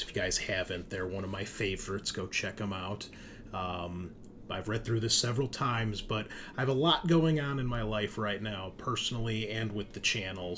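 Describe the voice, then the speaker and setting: Male tabletop RPG host, lower register, sci-fi horror game master, slight room noise behind him. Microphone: streaming setup at a computer. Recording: background noise, room ambience.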